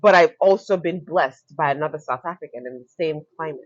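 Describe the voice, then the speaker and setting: Earnest Nigerian woman, low voice. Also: a little fast, no echo. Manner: earnest, reflective, repeats key phrases. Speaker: fashion entrepreneur guest. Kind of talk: podcast interview. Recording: dull lo-fi sound.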